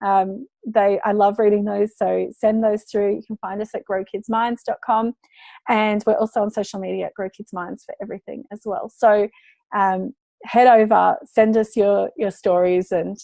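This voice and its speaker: Woman, a bit hoarse today, chatty parenting educator, mid pitch, dry close sound. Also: level tone, normal speed, warm tone, dry recording. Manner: chatty, encouraging, lots of fillers. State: losing her voice. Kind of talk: casual talk to parents.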